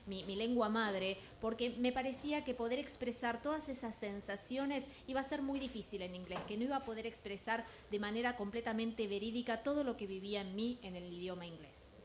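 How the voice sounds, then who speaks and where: A woman interpreting speakers consecutively at a public event, in a fluent, measured way. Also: a little high. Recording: echoey hall with noise.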